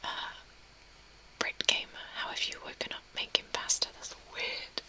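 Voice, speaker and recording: A woman's voice: moderate pace, low-quality recording. Whispering young woman. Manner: soft whispering, reading chat and answering. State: tearful, recently crying. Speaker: ASMR streamer. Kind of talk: whispered ASMR live stream chat. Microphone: webcam mic.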